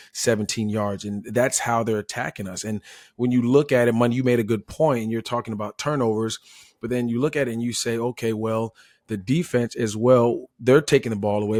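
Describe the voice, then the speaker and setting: Male ex-player analyst, fairly low voice, dry recording, explains tactics conversationally. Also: no room sound. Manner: explanatory, seeks agreement with frequent "right?". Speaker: former player turned analyst. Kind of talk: casual football breakdown.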